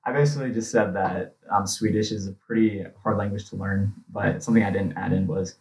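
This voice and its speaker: A young man in his twenties talking casually as an interview guest, chatty and quick to laugh. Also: fairly low pitch, fairly even intonation, darkish tone, low-quality recording. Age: 20s. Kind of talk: casual conversation.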